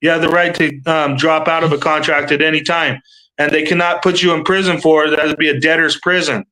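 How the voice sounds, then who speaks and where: A man's voice: a little high, level tone, fairly quick, bright, forceful tone, poor audio. A man in his thirties hosting a livestream panel, rambling in casual chat and swearing freely.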